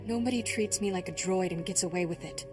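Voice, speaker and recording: Young female Jedi apprentice woman acting: game drama, slightly low pitch, spirited, defiant, wry. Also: quick pace, music in the background, lightly acted. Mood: wry confession. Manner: blunt, wry.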